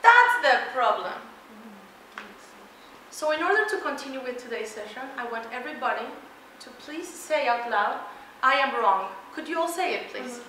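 A lively woman from Mexico, middle-aged, addresses a roomful of students from the front of a big lecture hall, her bright, forceful voice leaping up on key words. She is caught from across the room, so it rings with a strong echo. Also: high-pitched, normal speed, a little hiss or hum. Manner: energetic, emphatic, laughs often, walks while talking. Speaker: keynote speaker. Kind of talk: motivational presentation to students. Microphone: far room pickup. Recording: echoey hall from far off.

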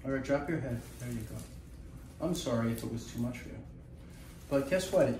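A man's voice: medium pitch, a little fast, a little mellow. A man in his fifties, a chiropractor hosting a casual treatment video, giving instructions and reassuring the patient as he goes. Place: small indoor treatment room. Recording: roomy, distant mic with clear background noise.

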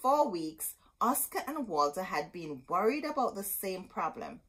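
A woman's voice: medium pitch, sing-song, a little slow, fairly bright tone, breathy edge. A middle-aged woman reading a children's picture book aloud in a dramatic, emphatic storytelling manner. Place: indoor room with bookshelves.

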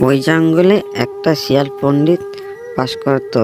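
A man's voice: a little high, a little slow. A man narrating a scripted children's fable as the storyteller. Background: background music.

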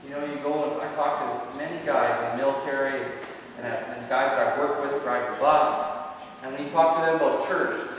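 A man's voice: on the high side, fairly bright tone, a little hiss or hum. A man preaching a sermon with an earnest, repeating cadence. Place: church sanctuary. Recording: echoey hall, voice from far off.

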